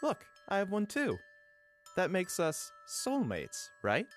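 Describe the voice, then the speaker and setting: A man playing a gentle, caring young sweetheart, earnest and supportive, in a comic dub. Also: high voice, huge pitch swings, takes it slowly, faint music behind, exaggerated voice. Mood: shy, hopeful.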